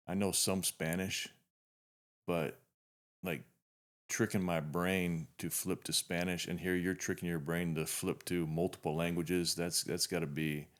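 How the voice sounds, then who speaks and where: Deep-voiced man hosting a podcast. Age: 50s. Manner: relaxed, rambling with frequent restarts. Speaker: podcast host. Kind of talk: casual interview chat.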